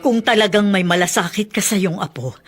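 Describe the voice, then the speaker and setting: A woman's voice: mid-range voice, fairly quick, faint music behind, lightly acted. A woman playing a protective older grandmother, reproachful and insistent, in a Filipino radio drama.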